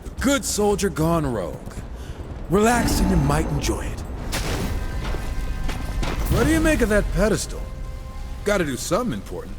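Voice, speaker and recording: Lively, wisecracking rogue. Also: male voice, high voice, normal speed, long pauses. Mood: teasing. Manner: sarcastic, casual. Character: wisecracking rogue gunslinger horseman, cocky and irreverent. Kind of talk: video game voice acting. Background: dramatic game music.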